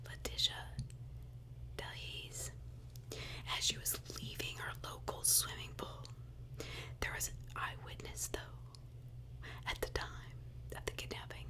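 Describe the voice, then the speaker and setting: A woman whispering a true crime story in ASMR style, adding informal personal asides as she narrates.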